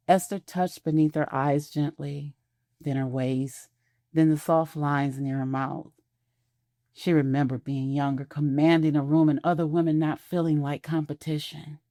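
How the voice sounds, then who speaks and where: Woman narrator, low voice, measured intimate telling, dry close mic. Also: dark tone, no room sound. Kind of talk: scripted audio drama narration.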